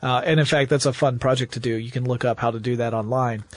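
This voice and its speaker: A man's voice: mid-range voice, steady tone, fast, no echo. A man talking as a podcast host, explaining step by step in a casual, enthusiastic storyteller's way.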